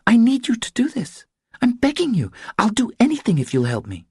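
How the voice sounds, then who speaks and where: A man voicing a small, cowed, desperate woman in an audiobook, pleading in an urgent, breathy way. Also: very high-pitched, wildly swooping pitch, a little fast, dry recording, studio quality, pitched above the performer's own voice, exaggerated voice.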